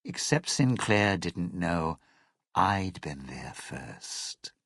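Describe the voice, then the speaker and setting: An actor playing a bitter, scheming lounge singer and thief in a video game, with a husky, gravelly voice and a sardonic, theatrical delivery. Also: male voice, low-pitched, very animated, very slow, fairly bright tone, dry recording, studio quality, exaggerated voice. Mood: smug, gloating. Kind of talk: video game character dialogue.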